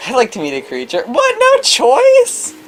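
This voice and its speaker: A woman's voice: sits high, huge pitch swings, moderate pace. A woman co-hosting a let's play with wry, joking banter, sometimes reading the game's dialogue aloud. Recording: faint background game music.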